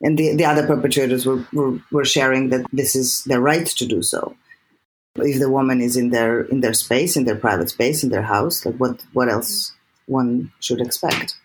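Guest: Serbian-accented woman, animated, earnest, restarts a lot. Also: very deep voice, moderate pace, slight background noise, low-quality recording. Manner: animated, many restarts and repeated words. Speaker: guest filmmaker. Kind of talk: earnest interview talk.

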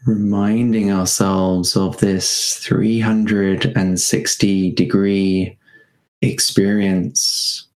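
A man guiding a meditation in a gentle, unhurried manner, leaving spacious pauses between short phrases. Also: low-pitched, little pitch movement, poor audio. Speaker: meditation guide. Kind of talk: guided meditation.

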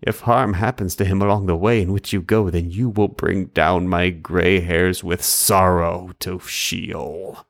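A man's voice: low-pitched, fairly even intonation, unhurried, few pauses. A man reading as a grieving old father. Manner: sorrowful and reproachful. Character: grieving elderly father, protective and bitter.

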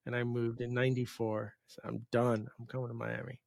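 A man's voice: mid-range voice, little pitch movement, brisk, gravelly, no room sound, studio quality. A man in his fifties from New York hosting a casual podcast interview, telling rambling stories and interrupting himself. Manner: rambling, storytelling, self-interrupting. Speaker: podcast host.

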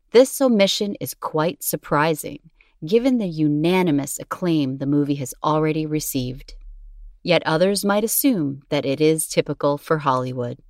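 A woman narrates a scripted documentary podcast in a low register. The recording is dry and close, and her delivery is measured and formal, read cleanly from a script. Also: dry recording.

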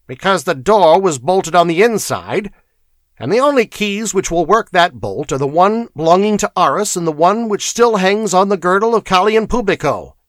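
Guy doing a bossy inquisitor in a fantasy tale, authoritative and impatient, higher voice, very dry. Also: animated, fairly bright tone, no echo. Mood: firm reasoning. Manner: brisk, authoritative, irritable. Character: commanding, impatient male inquisitor reasoning out a crime. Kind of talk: dramatized fantasy audiobook.

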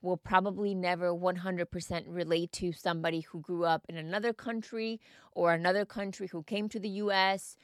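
A woman's voice: lowish voice, clean tone, no room sound, studio quality. A woman from the United States hosting a solo mindset podcast, talking the listener through reflective questions in a coaching manner. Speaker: podcast host.

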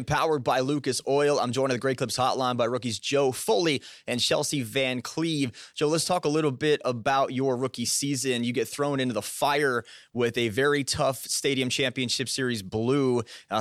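A man's voice: mid-range voice, fairly even intonation, normal speed, few pauses, fairly bright tone, no echo, clean studio sound. A man hosting an interview show, asking questions in an upbeat, friendly way. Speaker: show host. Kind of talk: hosted interview.

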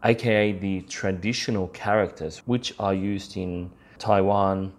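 A man's voice: sits low, fairly even intonation, measured pace. A man hosting a podcast alone, explaining things in an informal, conversational way with frequent check-ins to the listener. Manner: informal, conversational, peppers talk with "you know" and "right?". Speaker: podcast host. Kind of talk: casual explainer podcast monologue.

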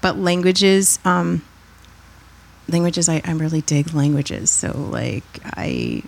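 A woman's voice: low-pitched, big pitch swings, slow pace, gravelly. A middle-aged woman from the United States, a podcast guest chatting casually and animatedly, digressing and laughing often. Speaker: podcast guest, author and consultant. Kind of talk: casual interview chat.

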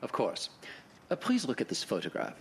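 A man's voice: medium pitch, huge pitch swings, normal speed, creaky, slight background noise. An actor playing an earnest, polite middle-aged man seeking help in a radio drama, formal and intense. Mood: earnest, insistent.

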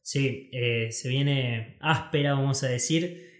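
An Argentine man talks markets in a loose, slangy way, stumbling over words and padding with fillers. His mid-pitched voice has a noticeable roomy echo around it, like a small untreated space. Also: takes it slowly. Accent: Argentine Spanish. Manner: relaxed, many fillers and restarts, slangy. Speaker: co-host of a finance show. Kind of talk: casual market chat.

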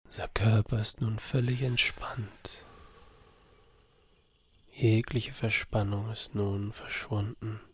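A man softly narrating a guided body-scan meditation for sleep, soothing and half-whispered, with a breathy voice. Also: fairly low pitch, steady tone, slow, pauses often, slight background noise.

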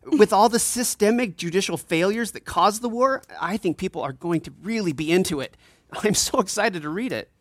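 A man's voice: high voice, animated, normal speed, dry recording. A friendly male student in an audio drama, casual and keen, bit of a tease, dry close mic. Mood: excited. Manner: casual, enthusiastic. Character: eager, friendly male student, empathetic and teasing. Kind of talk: fiction podcast acting.

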